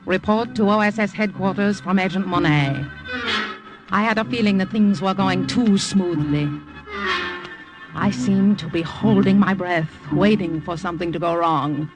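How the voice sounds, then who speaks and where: An actress playing a worldly, flirtatious French cabaret singer and secret agent in a radio drama, coy, poised and knowing. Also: female voice, medium pitch, a little slow, lightly acted. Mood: tense, confiding. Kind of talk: old-time radio acting. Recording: vintage radio recording with music behind.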